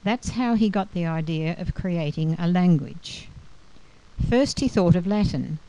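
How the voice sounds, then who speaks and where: Mellow, lower-pitched woman lecturer. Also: expressive, unhurried, rough recording. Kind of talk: scripted educational narration.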